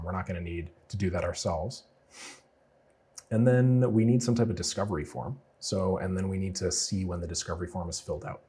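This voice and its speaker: Male tutorial host, low and very husky from a cold, quick casual explainer delivery. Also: a little mellow. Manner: casual, explanatory, loose with fillers. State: getting over a cold. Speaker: automation freelancer teaching a client build. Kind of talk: screen-share tutorial walkthrough.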